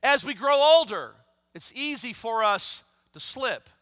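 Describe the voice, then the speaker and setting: High-voiced fifty-something preacher. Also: male voice, lively intonation, a little slow, bright, forceful tone, no room sound, studio quality, long reverb tail. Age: 50s. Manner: reads scripture aloud, then preaches with rising, emphatic intensity. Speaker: pastor. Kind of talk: sermon.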